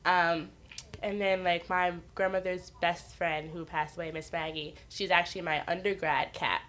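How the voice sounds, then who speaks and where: A woman's voice: on the low side, fairly even intonation, moderate pace, a bit bright. A woman in her twenties from the northeastern United States, a podcast guest telling frank personal stories, laughing often and acting out what others said. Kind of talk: candid casual chat.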